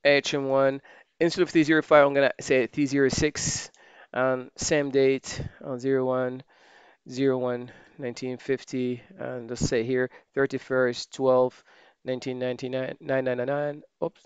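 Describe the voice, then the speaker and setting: A man giving a screen-recorded software tutorial, thinking aloud as he types and often correcting himself. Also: medium pitch, moderate pace, smooth, dry recording. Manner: relaxed, thinking aloud while typing, many fillers and self-corrections. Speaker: software trainer.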